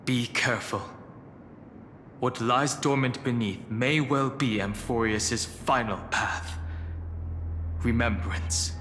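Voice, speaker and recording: An actor playing a grave, contemplative, scholarly companion in a video game, speaking in a deliberate, portentous way. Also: male voice, medium pitch, a bit bright, a little hiss or hum. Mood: solemn deduction. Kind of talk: dramatic video game voice acting.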